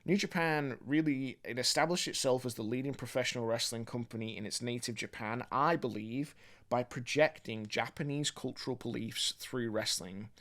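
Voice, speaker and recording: Man, mid-range pitch, measured academic phrasing, articulate, video essay presenter. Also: fairly bright tone. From born in England, grew up mostly in Australia. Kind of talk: video essay commentary to viewers.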